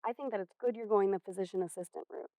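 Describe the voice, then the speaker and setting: A woman playing a caring, patient high school counselor in a stage play, speaking in a gentle, earnest, measured way. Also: dry recording, lightly acted. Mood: encouraging. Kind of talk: amateur stage play acting. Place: theater stage. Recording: muffled, dull stage recording.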